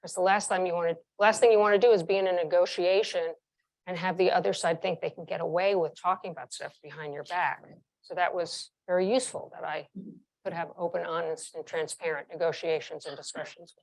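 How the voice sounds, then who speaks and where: A woman's voice: on the low side, steady tone, fairly quick, creaky, poor audio. A woman in her sixties from New York, a former chief executive telling frank, vivid stories from her career in an interview. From New York, United States. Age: 60s. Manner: frank, vivid anecdotes with emphatic repetition. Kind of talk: candid interview storytelling.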